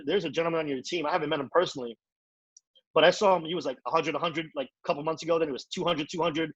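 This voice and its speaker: A man giving an energetic, repetitive motivational training talk as a network marketing trainer. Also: fairly high pitch, husky, dry recording, lo-fi sound. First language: English.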